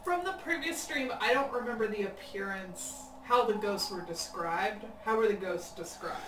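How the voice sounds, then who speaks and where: A woman's voice: medium pitch, moderate pace, roomy sound, far from the mic, background noise. A woman playing a tabletop game, asking questions playfully in casual chat. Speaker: player.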